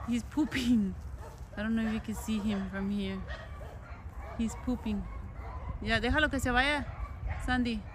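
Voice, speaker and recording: A woman's voice: on the high side, a little slow, long pauses. A woman chatting casually on a walking vlog outdoors on a handheld phone, switching between Spanish and English. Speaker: vlogger. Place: outdoors at stone ruins and a park path. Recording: noisy outdoor phone recording.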